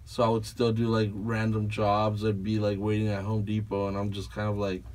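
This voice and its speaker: A man's voice: fairly low pitch, monotone, a little fast, darkish tone, distant mic. A man from Peru, tired after a late night, telling stories casually in a small podcast studio, rambling with lots of likes and fillers. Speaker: guest tattoo artist. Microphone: studio mic on a boom arm, headphones on. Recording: voice a little off-mic.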